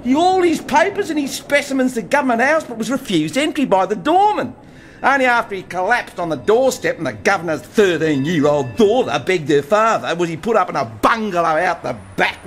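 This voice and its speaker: A man's voice: sits high, sing-song, brisk, fairly bright tone, slight background noise. An actor with an Australian accent playing a brash, profane bohemian man telling a swaggering yarn in a radio play. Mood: ranting yarn. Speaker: bohemian blues musician and storyteller.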